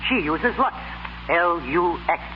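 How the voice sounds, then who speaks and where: An actor playing a cocksure, slangy young husband chatting away in a 1930s radio commercial. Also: male voice, on the high side, expressive, slow pace. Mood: breezy. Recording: muffled, faintly noisy 1930s radio recording.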